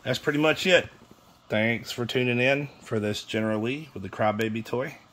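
A man's voice: medium pitch, normal speed, fairly bright tone. A man giving a casual, rambling, matter-of-fact product demonstration, recorded on a phone in a small room. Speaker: seller demonstrating used radio equipment. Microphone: phone held near the radio. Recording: clear background noise, handheld phone.

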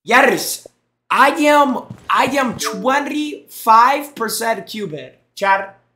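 A man's voice: extremely high pitch, lively intonation, very slow, sharp tone, echoey room, a little hiss or hum. A young man streaming on a headset mic in a small room, reacting to gameplay clips with teasing jokes and thanking his chat. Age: young adult. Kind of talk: casual live-stream commentary.

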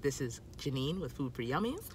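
A woman's voice: very deep voice, sing-song, moderate pace, darkish tone, a little hiss or hum. A woman in her thirties giving casual tips to camera from inside a parked car, emphatic and talking with her hands, filmed on a phone at arm's length. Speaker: food vlogger.

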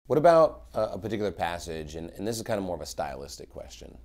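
A man in his thirties, a pastor interviewing a guest in a small office, asking measured questions. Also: low-pitched, big pitch swings, a little mellow.

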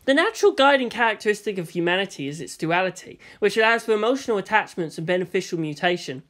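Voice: medium pitch, animated, fast, a bit bright, close to an everyday voice. A narrator voicing a guarded, challenging teenage boy with a pointed manner in a read story. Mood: probing. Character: guarded, sharp-tongued teenage boy, defiant toward his father.

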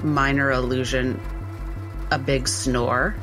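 A man's voice: mid-range voice, level tone, slow pace. A man playing a tabletop roleplaying game, talking casually through his moves. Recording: music bed under the voice.

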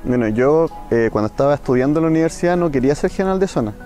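Young Chilean male doctor, mellow tone, interview testimony over faint music. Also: on the high side, lively intonation, rapid-fire. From Santiago, Chile. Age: young adult.

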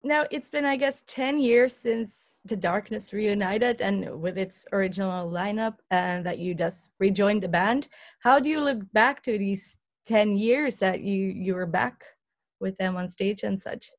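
A woman's voice: mid-range voice, a little slow. Young woman interviewer on a video call. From Belgium. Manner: friendly, laughs often. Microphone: laptop webcam on video call.

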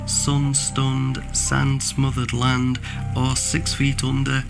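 A man reading a poem dramatically as a haunted soldier, deliberate and intense. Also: medium pitch, completely flat delivery, gravelly, over music. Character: haunted male British soldier recalling a killing. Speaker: poetry reader.

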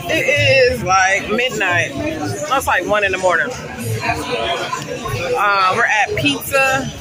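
Chatty woman vlogging on a loud cruise. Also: medium pitch. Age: 40s. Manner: lively, chatty, addresses viewers as "y'all". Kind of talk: casual vlog chat to camera. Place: cruise ship restaurant, club and casino, indoors. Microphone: phone camera held at arm's length. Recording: phone video with loud music and crowd. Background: loud music and crowd chatter.

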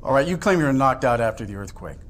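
A man's voice: mid-range voice, very animated, quick pace, slight background noise, natural voice. A man playing a scornful, aggressive agent in a video game interrogation, needling and accusing with open sarcasm. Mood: scornful, menacing. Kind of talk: naturalistic video game acting.

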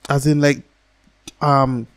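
A man's voice: mid-range voice, slow pace. A man in his thirties giving casual, slangy, profane reaction commentary as a video host, close on a desk microphone. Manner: slangy, profane, animated with hands. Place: small home room, indoors. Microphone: desk microphone on boom arm, close.

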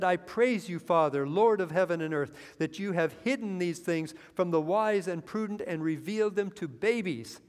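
A man in his fifties preaching a sermon from a church pulpit, earnest and rising into excitement as he reads scripture. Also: a little high. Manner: earnest preaching that swells with excitement, lines read from the Bible. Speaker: pastor. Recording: long hall reverb in a church.

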